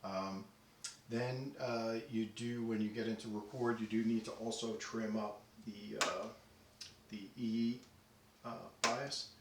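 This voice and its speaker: Male technician, lowish voice, methodical and halting demo delivery, recorded away from the mic in a roomy space. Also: steady tone. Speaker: audio deck restorer demonstrating work. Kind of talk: unscripted technical walkthrough. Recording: roomy, distant mic, faint noise.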